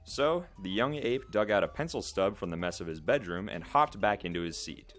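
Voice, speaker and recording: Deep, animated male storybook narrator. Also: moderate pace, soft background music.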